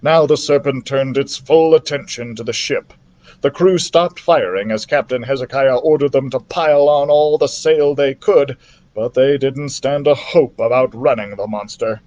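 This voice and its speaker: A man reading a children's adventure story aloud as a library storyteller, in a theatrical, expressive manner. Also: mid-range voice, normal speed.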